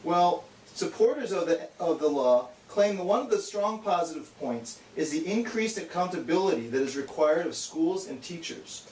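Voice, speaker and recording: A man's voice: fairly high pitch, animated, moderate pace, hardly any pauses, darkish tone. A man giving an earnest, matter-of-fact scripted educational explanation as the straight man of a puppet show. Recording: echoey, distant, faint noise.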